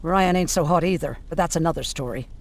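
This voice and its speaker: A woman's voice: sits low, fast, a little mellow. An actress playing a self-assured, caring resistance woman in a video game, with a wry, folksy, familiar way of talking. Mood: wry, teasing.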